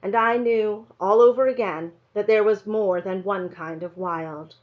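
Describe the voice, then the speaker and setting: A woman narrates a story as a read-aloud, with expressive storybook phrasing. Her voice sits at a middle pitch. The recording is a little dull and lo-fi. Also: unhurried.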